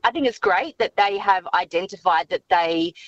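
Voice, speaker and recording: An outspoken mother calling in to talk radio, stating her view bluntly. Her voice is mid-pitched and dry. The line sounds dull and muffled. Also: normal speed, a bit bright, dry recording. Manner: forthright, opinionated. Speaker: caller, parent.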